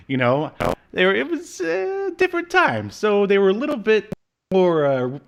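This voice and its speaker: A man's voice: high voice, sing-song, a little slow, gravelly. A man in his thirties with a slight cold, chatting casually and jokingly as a podcast co-host reviewing pop culture. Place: home room with shelves, video call.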